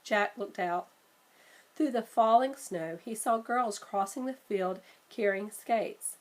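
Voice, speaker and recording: A woman reading a children's storybook aloud in an expressive, measured way. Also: medium pitch. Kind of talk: storybook read-aloud narration.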